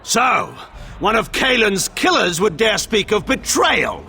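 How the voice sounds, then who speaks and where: An actor playing a proud, aging warlord regent in a fantasy game, declamatory and scornful. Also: male voice, sits high, sing-song, takes it slowly, vocal fry, sharp tone, shouting, soft background music, full character voice. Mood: mocking accusation. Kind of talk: theatrical fantasy game acting.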